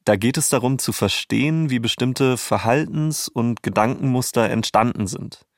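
A man's voice: medium pitch, moderate pace, no echo, clean studio sound. A man co-hosting a narrative podcast, reading scripted storytelling in a conversational, explanatory tone.

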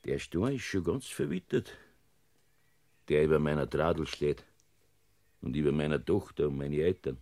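A man's voice: extremely low pitch, lively intonation, normal speed, creaky, pauses often, darkish tone, full character voice. An actor playing a jovial, stubborn old farmer grandfather with a Bavarian accent in a dialect radio play. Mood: wistful. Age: older. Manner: boisterous, laughs often. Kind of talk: 1950s-style dialect radio play acting. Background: folk dance music.

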